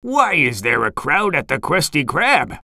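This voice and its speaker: A woman doing a gruff, blustery crab boss. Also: low voice, sing-song, unhurried, bright, forceful tone, no echo, studio quality, full character voice. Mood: surprised. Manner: piratical, gruff, bellowing. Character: blustery, money-loving old male crab restaurant boss. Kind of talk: children's storybook character voice.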